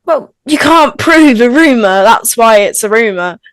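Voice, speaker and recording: A woman's voice: on the high side, animated, unhurried, no room sound. A woman playing a blunt, chatty teenage best friend in a script table read. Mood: frank, gossipy.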